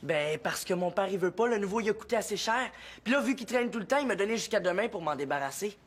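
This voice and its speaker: An actor playing an earnest, eager young friend in a sitcom. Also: male voice, sits high, animated, fast, husky. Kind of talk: sitcom acting.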